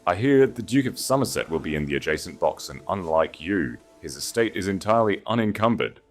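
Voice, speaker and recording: A man's voice: low-pitched, sing-song, soft background music. A man voicing a cold, calculating, contemptuous aristocratic woman with clipped disdain in a dramatic audiobook story. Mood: sneering. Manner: icy, slow, clipped disdain.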